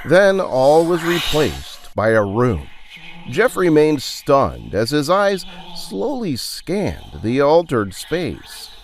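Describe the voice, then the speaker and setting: A man's voice: fairly high pitch, wildly swooping pitch, slow pace. A man narrating a scripted audio drama with theatrical, suspenseful storytelling.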